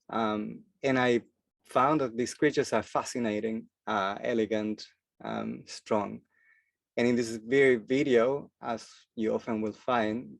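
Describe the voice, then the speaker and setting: Slow, gravelly man. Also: on the low side, no room sound. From Chile. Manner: hesitant, many filler sounds. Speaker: freediving instructor and guest speaker. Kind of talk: forum presentation talk.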